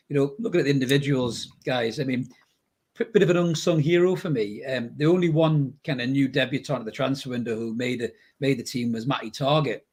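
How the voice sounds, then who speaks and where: A man's voice: mid-range voice, slightly rough. A man hosting a casual football podcast, chatting in a matey way with guests and commenters. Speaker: podcast host.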